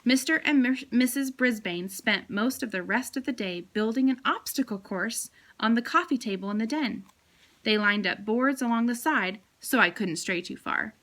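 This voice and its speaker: A woman reading a children's chapter book aloud as a teacher, with expressive storytelling. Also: on the high side, normal speed.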